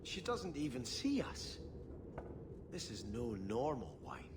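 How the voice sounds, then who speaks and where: An actor playing a devout, formal young prince in a fantasy video game, earnest and easily outraged. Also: male voice, medium pitch, huge pitch swings, takes it slowly, noisy background. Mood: puzzled concern.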